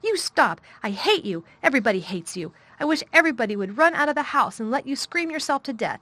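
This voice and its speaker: A woman's voice: medium pitch, normal speed, fairly bright tone, no echo, lightly acted. A woman voicing a fierce, bossy little girl in a temper, scolding and commanding. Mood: furious.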